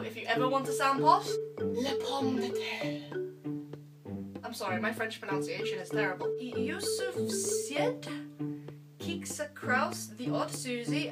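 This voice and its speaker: Bubbly high-voiced young woman. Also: far from the mic. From Scotland. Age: young adult. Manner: bubbly, playful. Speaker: YouTuber answering fan questions. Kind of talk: Q&A vlog. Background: loud background music.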